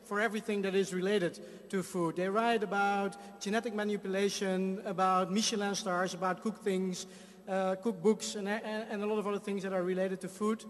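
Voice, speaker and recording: High-voiced man presenting on stage. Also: little pitch movement, moderate pace. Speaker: jury member presenting an award. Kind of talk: award presentation speech. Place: large hall. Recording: hall reverb from a stage mic.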